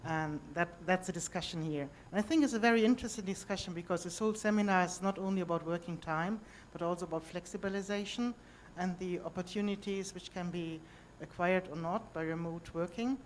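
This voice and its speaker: A woman law professor in a seminar audience, not speaking her first language, asking a brisk, assertive question. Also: lowish voice, a little hiss or hum. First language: not English. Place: university seminar room.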